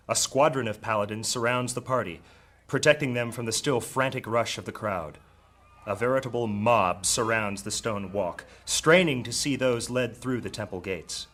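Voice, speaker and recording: A man's voice: medium pitch, fairly bright tone. A man narrating a scripted fantasy story in the present tense.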